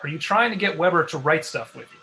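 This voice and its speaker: Male, actor as sensible, fed-up staffer in a comedy read; higher, lively pitch, sarcastic, background noise. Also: quick pace. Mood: stern. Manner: dry, sarcastic. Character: exasperated, sensible male talk show staffer. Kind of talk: comedy script table read.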